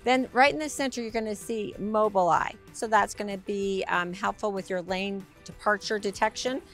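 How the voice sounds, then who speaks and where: Hoarse, raspy-voiced woman giving an upbeat tour. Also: fairly even intonation, moderate pace, a bit bright, soft background music. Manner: bubbly, upbeat sales pitch. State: hoarse from a week of talking. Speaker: RV sales presenter. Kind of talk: enthusiastic walkaround tour.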